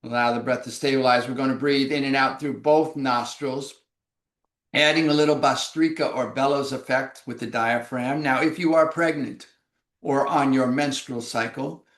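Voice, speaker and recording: Calm man guiding yoga breathing. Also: mid-range voice, roomy sound. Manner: slow, calm, measured cues. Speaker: yoga instructor. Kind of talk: guided breathing instruction.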